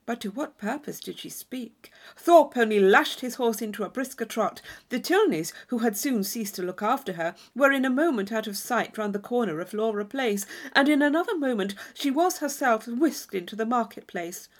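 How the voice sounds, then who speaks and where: A woman narrates a classic novel as an audiobook. Her voice sits a little high and moves with very varied intonation through the scripted prose. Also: expressive, moderate pace, few pauses.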